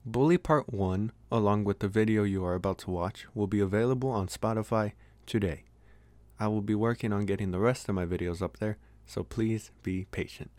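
A man's voice: fairly low pitch, fairly quick, darkish tone, dry recording. A man who makes videos, giving a channel announcement to his viewers. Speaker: video creator.

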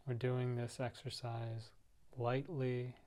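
Man guiding meditation in a very flat tone. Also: mid-range voice. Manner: soft, gentle, unhurried instructing with pauses. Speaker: meditation guide. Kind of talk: guided body-scan meditation.

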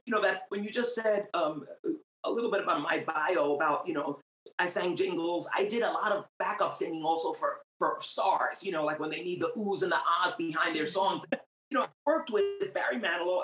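A woman's voice: fairly low pitch, moderate pace. A middle-aged woman from New York with Puerto Rican roots, a singer giving her faith testimony as a guest, earnest and growing emphatic. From Puerto Rican, raised in New York. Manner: earnest, grows emphatic and animated. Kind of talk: faith testimony in a podcast interview. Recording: roomy, echoey sound.

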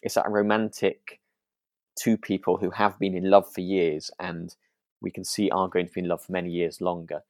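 A man's voice: deep voice, flat delivery, moderate pace, a little mellow, dry recording, clean studio sound. A British man co-hosting a podcast in casual chat, agreeable and a little rambling as he feels his way through sentences. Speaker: podcast co-host.

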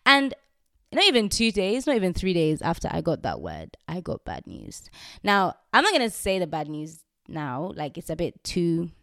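A woman's voice: fairly low pitch, very animated, normal speed, fairly bright tone, no room sound, studio quality. A young adult woman hosting her own podcast in a casual faith talk, chatty and candid with fillers and frequent laughs; English is not her first language. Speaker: podcast host. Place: indoors, recording herself on video.

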